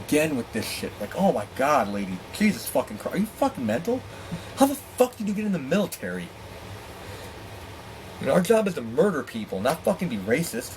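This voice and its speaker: A man telling a personal story in a candid, profane, animated way, acting out dialogue with exasperated asides. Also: mid-range voice, a little hiss or hum, poor audio. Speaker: storyteller and host.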